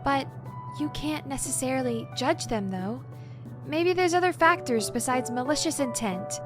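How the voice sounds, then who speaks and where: An earnest, gentle-mannered young idealist, played by a woman in an audio drama. Her high voice is polite, careful and a little tentative, set over clear background music. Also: expressive, moderate pace. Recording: music behind the voice.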